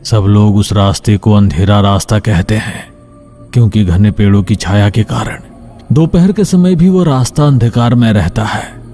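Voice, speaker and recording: A man narrates a scripted horror tale in a dark, mellow voice. His pitch is on the low side, and soft music plays faintly behind him. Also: normal speed.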